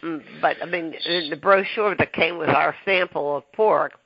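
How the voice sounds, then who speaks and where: Male podcast host, husky and raspy-edged, stop-start delivery, recorded dull and dry. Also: on the high side, dry recording. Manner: halting, full of ums and restarts. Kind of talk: casual interview chat.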